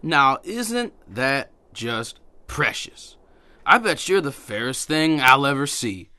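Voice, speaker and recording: A man's voice: medium pitch, very animated, bright, forceful tone, no echo, clean studio sound, exaggerated voice. A man playing Death as a mocking gunslinger in a radio play, drawing out his words. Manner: slow, mocking, drawn-out. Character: Mister Death, mocking male gunslinger Grim Reaper.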